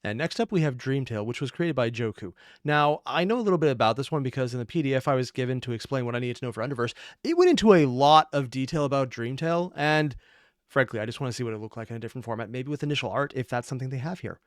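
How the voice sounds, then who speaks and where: A man hosts a reaction video with casual, unscripted commentary in a very dry, close recording. He is analytical, wanders into asides and corrects himself as he goes. His pitch sits in the middle range for a man. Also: fast talker, dry recording.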